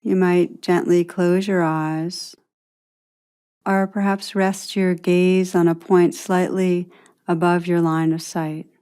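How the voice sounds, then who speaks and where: A woman narrating a guided meditation as a teacher, in a soothing, unhurried manner with long pauses between phrases. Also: on the low side, flat delivery, mellow, smooth.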